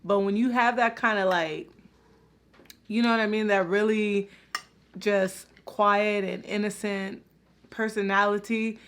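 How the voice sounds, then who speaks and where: A woman's voice: medium pitch, steady tone, unhurried, long pauses, a bit bright, a little hiss or hum. A woman hosting a casual reaction video, talking straight to her viewers and filling her speech with "like" and "you know". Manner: chatty, many fillers like "like" and "you know".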